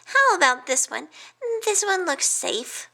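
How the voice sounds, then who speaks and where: A woman voicing a small, anxious male porcupine who squeaks out his worried warnings. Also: very animated, unhurried, vocal fry, sharp tone, full character voice. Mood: fretful.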